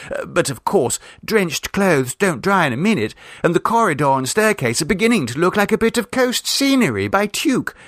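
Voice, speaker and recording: A man's voice: high-pitched, fairly bright tone, no room sound, studio quality, pitched above the performer's own voice. A man voicing a chatty, blithely unconcerned teenage girl in a storybook reading, prattling breezily and matter-of-factly. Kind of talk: storybook character voice.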